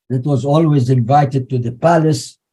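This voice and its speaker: An older man from Iraq, Arabic his first language, speaking with an Iraqi Arabic accent in a halting webinar talk over a video call, full of restarts and "you know". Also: mid-range voice, warm tone, slight background noise, low-quality recording. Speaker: guest speaker.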